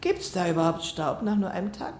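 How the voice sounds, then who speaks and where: A woman's voice: fairly low pitch, sing-song, fairly quick, echoey room, a little hiss or hum. An actress playing a mother who keeps pressing her daughter with questions. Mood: probing. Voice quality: questioning, insistent. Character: persistent, probing mother. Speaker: mother recovering from heart surgery. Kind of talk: radio play acting.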